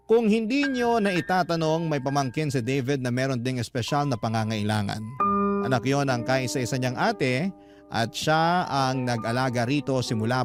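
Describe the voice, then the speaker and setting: A man narrating a woman letter sender's love story in the first person, as sentimental, measured radio drama storytelling. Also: mid-range voice, natural voice.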